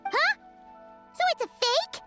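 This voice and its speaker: A woman voicing a tiny, excitable, cheeky fairy companion with a childlike, squeaky voice in a video game. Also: slow, over loud music, full character voice. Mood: cheeky disbelief. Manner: exclamatory, cheeky, refers to self in third person.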